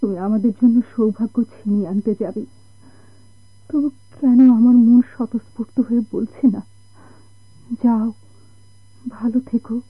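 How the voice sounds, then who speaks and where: An actress playing an anxious, sorrowful wife and mother in a radio drama, with halting, plaintive delivery. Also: a little high, fairly even intonation, slightly rough, no room sound. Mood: anxious pleading. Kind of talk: Bengali radio drama acting. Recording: tinny, band-limited old radio recording.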